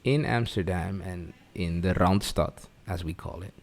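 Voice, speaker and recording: A man from Suriname who grew up in the Netherlands, talking casually as a podcast guest, haltingly and repeating words as he thinks. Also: low voice, vocal fry, a little mellow. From born in Suriname, grew up in the Netherlands. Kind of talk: casual conversational interview.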